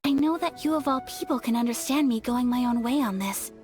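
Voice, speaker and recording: A woman playing a refined, sincere young noblewoman in a video game, speaking politely and gently. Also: a little high, brisk, natural voice. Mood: earnest, hopeful. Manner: polite, soft-spoken, poetic. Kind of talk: video game character acting. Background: faint music.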